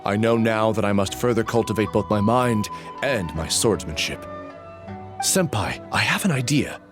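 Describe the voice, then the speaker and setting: A man's voice: lowish voice, lively intonation, moderate pace, over music, natural voice. A man playing an eager junior sword student, theatrical and deferential, in dramatic anime-style video game acting. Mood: resolved.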